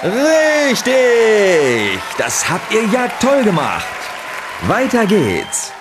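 Showy quizmaster, audio drama; slow, drawn-out theatrical delivery, wildly animated, music and audience behind. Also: male voice, high-pitched, a crowd in the background, heavily acted. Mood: suspenseful, showy. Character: showy, teasing male TV game show host. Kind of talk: game show presenting in an audio drama.

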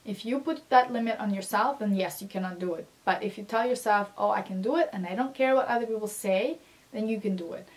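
A woman's voice: medium pitch, fairly quick, low-quality recording. A woman in her twenties, English not her first language, giving encouraging advice in a casual talking-head video from a small room. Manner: animated, gestures with both hands while talking; earnest, encouraging, many fillers. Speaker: vlogger.

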